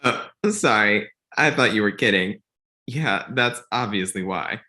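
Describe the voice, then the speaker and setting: A man playing a dry, reluctant young intellectual with quiet disdain in a comedy script read. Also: fairly low pitch, unhurried. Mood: dryly disdainful.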